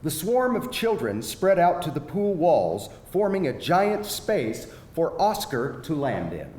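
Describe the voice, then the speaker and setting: Expressive male narrator in an echoey hall. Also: on the high side, measured pace. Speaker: stage-direction narrator. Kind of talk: scripted narration at a live screenplay reading. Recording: echoey hall, faint background noise.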